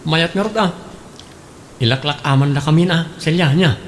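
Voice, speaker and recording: A man playing an easygoing, evasive rascal in an Ilocano radio comedy drama, casual and animated, with an Ilocano accent. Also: fairly high pitch, normal speed, lightly acted. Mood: playful, sheepish.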